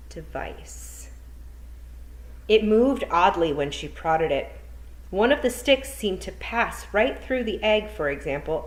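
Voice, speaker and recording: A woman's voice: mid-range voice, rough recording. A woman teacher at home, chatting with her pupils and then reading a children's novel aloud in a friendly, encouraging way. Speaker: teacher giving a read-aloud. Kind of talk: friendly classroom talk and storybook reading.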